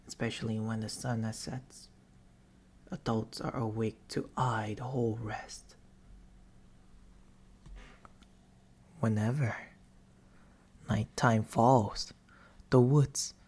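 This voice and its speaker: A man voicing an eerie, patient sleep paralysis demon in an ASMR roleplay, half-whispering slowly and intimately into a close microphone with coaxing, theatrical phrasing. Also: medium pitch, a little mellow, slight background noise, close to an everyday voice. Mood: hushed storytelling. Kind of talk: ASMR roleplay, slow storytelling. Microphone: close binaural microphone.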